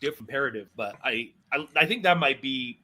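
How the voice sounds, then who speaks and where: A man's voice: mid-range voice, expressive, brisk, bright, forceful tone. A man in a casual interview, talking appreciatively and thinking aloud as a show producer.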